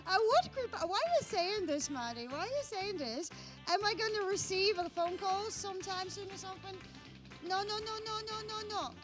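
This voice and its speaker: A woman's voice: extremely high pitch, lively intonation, moderate pace, music in the background. A woman from Latvia, a native Russian speaker, hosting a live casino game show in English in a chatty, playful, giggly way.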